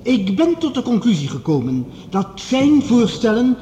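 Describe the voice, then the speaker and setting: An eminent radio-play scientist with a high-set, widely swinging voice. He speaks in measured, formal oratory, composed and statesmanlike, in a big reverberant hall. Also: very animated, warm tone, muffled recording, a little hiss or hum. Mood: solemn, persuasive. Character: eminent male scientist, formal, composed, conceding rival professor. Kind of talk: radio play acting, formal speech to an assembly. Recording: echoey large hall with long reverb.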